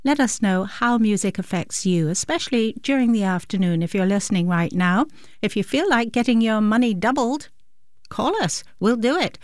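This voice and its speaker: A woman's voice: medium pitch, lively intonation, moderate pace, dry recording. A woman co-hosting on a classical radio station, making a friendly, conversational pledge appeal into a studio microphone. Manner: friendly, conversational, speaks straight to listeners.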